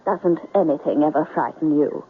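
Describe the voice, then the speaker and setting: A woman's voice: low voice, moderate pace, slightly rough. An actress playing a genteel, scheming, seductive woman on safari in a 1970s radio drama, with dramatic, emotive delivery. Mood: coldly scheming. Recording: tinny old radio with light noise.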